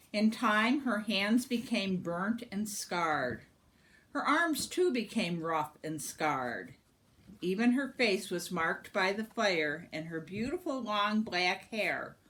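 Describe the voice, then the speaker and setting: A woman narrates a storybook for a young child. Her pace is slow and deliberate, and her pitch moves widely for dramatic, expressive effect. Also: rough recording. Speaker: storyteller reading a picture book aloud to a young child.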